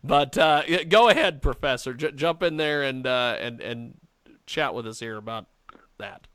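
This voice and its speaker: A man's voice: medium pitch, moderate pace, a bit bright, clear, resonant tone, no room sound. A man hosting a talk radio show, joking and prompting his guests to jump in. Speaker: host.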